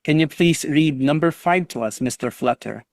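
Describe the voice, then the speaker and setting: A man's voice: fairly high pitch, moderate pace, no room sound, clean studio sound. A man teaching an online English class over a video call, patiently drilling students on pronunciation and encouraging them.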